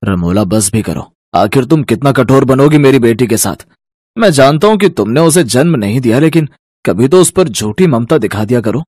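A man voicing a concerned older father, measured and reproachful, in a cartoon story. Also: mid-range voice, expressive, darkish tone, no room sound, clean studio sound, natural voice.

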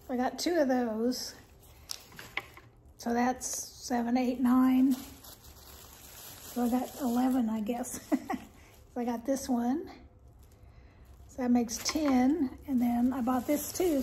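An older woman casually showing off her shopping haul at home, chatty and rambling with soft laughs and many ums, a little congested. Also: high-pitched, slight background noise, poor audio. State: slightly congested, clearing throat. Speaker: haul vlogger. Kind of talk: casual haul show-and-tell. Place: living room at home, indoors.

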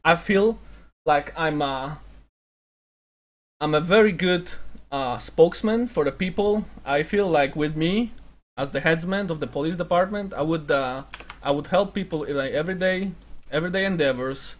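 A man's voice: fairly high pitch, normal speed. A man roleplaying a job applicant in a game, hesitant and full of fillers, breaking into laughter. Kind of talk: in-character roleplay banter.

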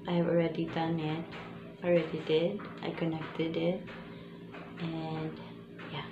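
A young Filipino woman talks through a microphone review from her small bedroom. She speaks in a soft, quiet, low voice and keeps an unhurried, chatty pace as she mixes English and Tagalog. The sound is roomy and a little dull, with steady hiss behind her. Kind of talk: casual product review. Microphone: phone camera, later a small clip-on mic.

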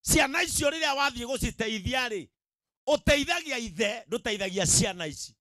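Male Kenyan pastor, middle-aged, Kikuyu speaker. High-pitched, emphatic sermon delivery into a close handheld mic, dry sound. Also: expressive, fairly quick, pushed and bright, no room sound. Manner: emphatic, repetitive, checks in with the audience. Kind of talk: sermon to a live gathering. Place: outdoor tented event. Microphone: handheld microphone.

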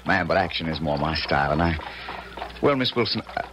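A deep shy gentleman colonel, halting, smitten, noisy old radio drama. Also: expressive. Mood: earnest, smitten. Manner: halting, formal. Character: earnest, awkward, courtly colonel in love. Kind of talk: 1970s radio drama acting. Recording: noisy 1970s radio recording.

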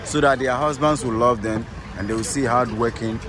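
A man's voice: medium pitch, normal speed. A man with a Ghanaian accent casually explaining things as a tour guide, often repeating and rephrasing himself. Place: outdoors at a busy bus station. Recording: outdoor street noise. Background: street and vehicle noise.

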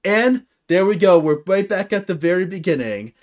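A young man hosting a video game playthrough, cheering loudly as he narrates his progress from his desk. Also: high voice, normal speed. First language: English. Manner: excitable, cheering loudly at victories. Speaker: gaming video host. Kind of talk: let's-play commentary. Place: indoor room at a desk.

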